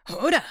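A woman playing a low, breathy domineering examiner. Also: darkish tone, no echo, exaggerated voice. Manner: taunting, commanding, mostly whispered close to the ear. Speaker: voice actor in an audio roleplay. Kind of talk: whispered ASMR roleplay.

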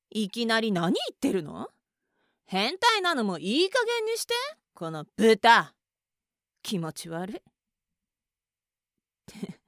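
A woman's voice: a little high, no room sound, clean studio sound. A woman acting a contemptuous, domineering young woman in an adult audio roleplay, mocking and bossy.

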